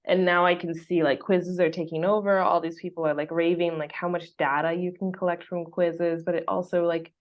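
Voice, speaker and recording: A woman's voice: on the low side, level tone, brisk, hardly any pauses. Chatty West Coast American woman, lo-fi audio. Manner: chatty, laughs often, many fillers. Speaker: podcast host. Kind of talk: casual chat.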